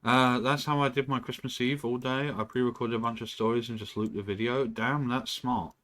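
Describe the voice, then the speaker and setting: A man streaming from home, chatting casually and rambling, who often clears his throat and swears. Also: mid-range voice, steady tone, fairly quick, fairly bright tone. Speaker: live streamer. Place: indoors at home.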